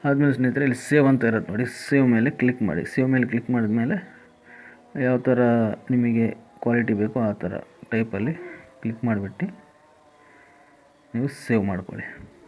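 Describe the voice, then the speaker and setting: A man's voice: mid-range voice, fairly even intonation, moderate pace, long pauses, darkish tone. A man giving a step-by-step app tutorial as a voice-over, repeating each instruction as he goes. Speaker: tutorial host. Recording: tinny phone-like band, faint noise.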